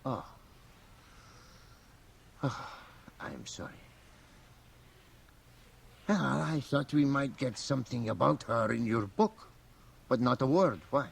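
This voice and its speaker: An actor playing a very old, mischievous and learned priest in a radio drama, with a gravelly aged voice and sly, chuckling asides. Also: male voice, medium pitch, animated, slow, long pauses, heavily acted. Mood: earnest, reflective. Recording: faint hiss, home cassette radio transfer.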